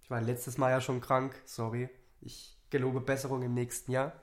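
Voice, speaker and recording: A man co-hosting a podcast, hoarse from a cold, laid-back with short replies in casual chat. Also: medium pitch, flat delivery, normal speed, roomy sound. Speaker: podcast co-host.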